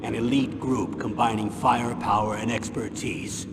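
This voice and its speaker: An actor playing an older, gruff military commander who briefs with formal, measured, grim authority in a video game cutscene. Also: male voice, steady tone, lightly acted. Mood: grave, measured. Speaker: commanding officer. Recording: boomy reverberant hall, loud background noise.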